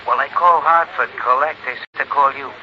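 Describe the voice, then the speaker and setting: An actor playing a secretive, shady informant in a 1950s radio crime drama, terse and insistent. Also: male voice, mid-range voice, brisk, natural voice. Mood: guarded. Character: shady, secretive male informant phoning about a reward. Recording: muffled 1950s radio, faint hiss.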